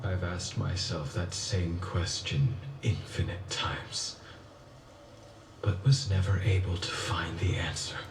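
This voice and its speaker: Brooding undying swordsman, very low. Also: background noise. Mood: anguished, accusing. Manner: slow, grave, deliberate delivery. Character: brooding, tormented undying swordsman, bitter and haunted. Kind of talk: dramatic video game monologue. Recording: echoey, distant, under loud music.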